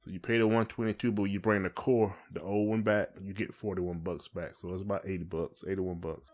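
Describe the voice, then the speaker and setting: A man's voice: sits low, level tone, brisk, a little mellow. An American man co-hosting a podcast, talking in a casual, informal and colloquial way. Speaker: podcast co-host. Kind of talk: casual conversational chat.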